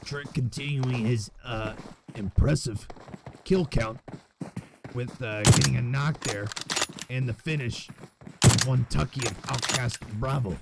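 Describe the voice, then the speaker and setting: A man's voice: medium pitch, slow, vocal fry, long pauses. A man calling the play-by-play of a match live, with joking, playful banter. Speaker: esports play-by-play caster. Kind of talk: live game commentary. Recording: game gunfire and engine noise under voice.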